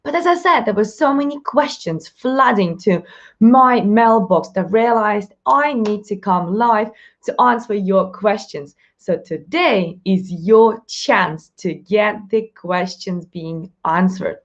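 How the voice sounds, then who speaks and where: A woman with a Russian accent hosts a live-stream Q&A in a chatty, upbeat way, talking fast and laughing often. Her voice sits in a middle register, and the stream audio is slightly dull. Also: medium pitch. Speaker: live show host, mindset coach.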